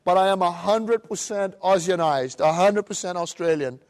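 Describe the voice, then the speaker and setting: Animated Brazilian-accented man, high voice. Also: moderate pace. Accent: Brazilian Portuguese. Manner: animated, acts out voices of others. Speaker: motivational speaker. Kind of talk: live seminar presentation.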